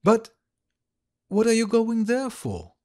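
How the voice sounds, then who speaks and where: A man's voice: very high voice, sing-song, slow pace, dark tone, pitched above the performer's own voice, full character voice. A man reading aloud, voicing an agitated young noblewoman who pleads urgently with a guest. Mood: incredulous.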